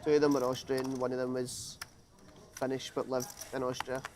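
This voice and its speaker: A man at a poker table making relaxed, rambling small talk with other players, picked up from a distance. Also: medium pitch, moderate pace, a crowd in the background. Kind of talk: casual table chat.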